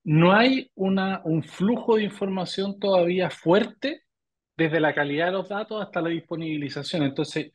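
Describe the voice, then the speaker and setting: Chilean male guest, middle-aged, slightly high voice, measured and halting, restarts words often. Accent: Chilean Spanish. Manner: thoughtful, repeats words while searching for phrasing. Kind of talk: interview answers.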